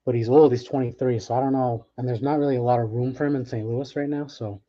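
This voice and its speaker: A man's voice: mid-range voice, steady tone, fast, warm tone. Chatty man with a dull lo-fi sound. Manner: chatty, offhand, thinks aloud with restarts. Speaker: card break host. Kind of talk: casual live commentary while opening packs.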